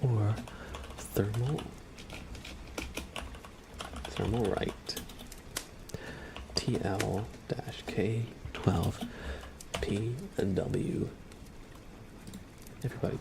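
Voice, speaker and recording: A low-voiced man with a lot of vocal fry, hosting a relaxed ASMR livestream. He speaks softly, often drifting into a whisper, and sounds a little under the weather. There is clear background noise around his voice. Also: very slow, pauses often. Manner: soft-spoken, often slipping into whispers. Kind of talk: ASMR livestream, casual chat with viewers.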